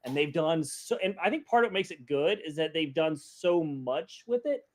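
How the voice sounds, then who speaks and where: A man's voice: a little high, animated, moderate pace. A man on a podcast panel, chatting casually and thinking aloud in a rambling way. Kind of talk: casual chat.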